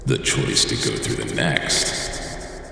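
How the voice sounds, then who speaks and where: A man playing a grave, cryptic, otherworldly narrator in an audio drama, speaking slowly and portentously in a gravelly voice. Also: a bass voice, pushed and bright, heavily acted. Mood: grave, ominous. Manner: slow, portentous. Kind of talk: anthology radio drama narration. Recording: cavernous long reverb, faint noise.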